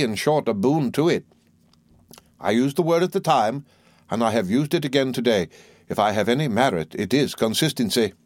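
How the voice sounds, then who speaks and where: A man's voice: medium pitch, a little mellow, no room sound, voice pushed up, lightly acted. A man reading aloud, voicing a fussy, garrulous older mother who is pleased with herself. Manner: gushing, emphatic, self-important.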